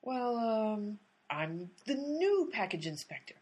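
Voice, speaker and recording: A woman's voice: on the high side, very animated, slow, darkish tone, full character voice. A woman playing an earnest, literal-minded amateur detective in a radio comedy, insistent and pedantic. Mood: bluffing.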